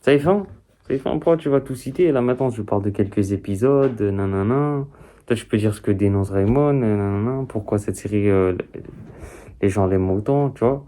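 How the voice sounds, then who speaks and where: Low, mellow young man reacting casually. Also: brisk. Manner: slangy, repetitive, rambling. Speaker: reaction video host. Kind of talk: casual unscripted reaction commentary.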